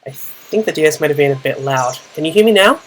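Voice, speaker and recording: A young Australian man with a naturally loud voice, casually narrating his own Pokemon battle, thinking aloud with fillers. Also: a little high, lively intonation, fast, rough recording. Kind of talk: casual play-by-play gaming commentary.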